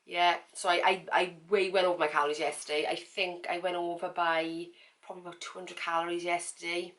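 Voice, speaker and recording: A woman talks straight to her viewers in a casual vlog, her voice a little croaky and pitched on the low side. She chats frankly and loosely, circling back with fillers and repeated words. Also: little pitch movement, brisk, a bit bright, low-quality recording. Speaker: vlogger. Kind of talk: casual talk to camera.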